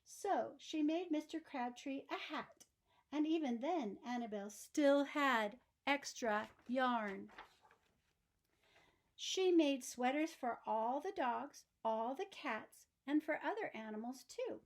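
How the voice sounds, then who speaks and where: A woman narrates a children's story in a high voice. Her pace is slow and deliberate. She reads expressively, with emphasis suited to young listeners. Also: animated.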